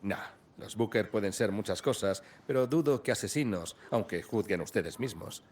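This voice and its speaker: A man's voice: fairly low pitch, normal speed, slight background noise, close to an everyday voice. An actor playing a friendly, easygoing male small-town sheriff in a dubbed video game, with a hospitable, folksy way of talking. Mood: genial welcome.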